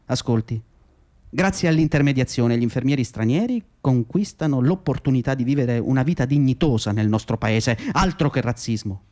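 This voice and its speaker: An actor playing a condescending, self-justifying labour agency manager in a radio drama, with patronising, hesitant, repetitive speech. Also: male voice, mid-range voice, normal speed, darkish tone, lightly acted. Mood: smug, lecturing. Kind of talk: radio drama monologue and dialogue.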